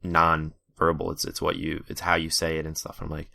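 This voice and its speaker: A man with a very low, monotone voice hosts a podcast. He talks in a relaxed, agreeable way, and the recording is close and dry, with no room sound. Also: fairly quick, vocal fry, dry recording. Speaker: podcast host. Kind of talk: podcast conversation.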